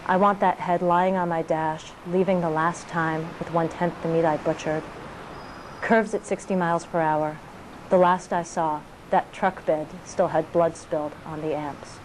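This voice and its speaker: Low-voiced woman reading poetry. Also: steady tone, moderate pace, noisy background. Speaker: poet.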